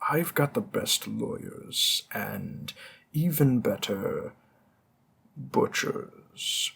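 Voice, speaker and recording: A man's voice: mid-range voice, vocal fry, a bit bright, breathy edge, full character voice. A man playing a smug, flirtatious mob boss who teases a detective and drops veiled threats. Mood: menacing. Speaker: audio roleplay performer. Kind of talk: scripted audio roleplay.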